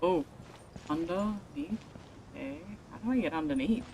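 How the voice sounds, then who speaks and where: A woman's voice: mid-range voice, sing-song, moderate pace, gravelly, darkish tone, a little hiss or hum. A woman livestreaming a video game, commenting casually to herself in grumbling, muttered asides. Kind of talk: casual live gameplay commentary, talking to self. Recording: game music behind the voice. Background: video game music.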